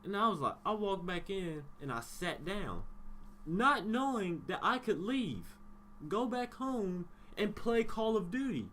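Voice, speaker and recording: Young man, highish voice, lively swinging pitch, casual blunt storytelling, slangy, noisy background. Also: a little slow. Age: young adult. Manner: acts out dialogue, blunt and slangy with swearing. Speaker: YouTube storyteller. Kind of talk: casual, animated personal storytelling.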